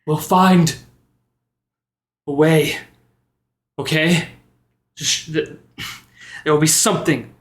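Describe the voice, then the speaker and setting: A man playing a remorseful but determined bard adventurer, earnest and halting, in a roomy recording. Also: fairly high pitch, fairly even intonation, pitched above the performer's own voice. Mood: earnest, resolved.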